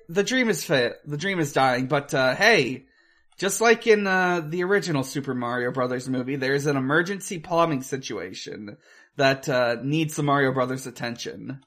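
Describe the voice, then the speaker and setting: A man with a slightly high voice talks slowly as a casual podcast co-host. He is hesitant and halting, working out his thoughts aloud while discussing film. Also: a bit bright.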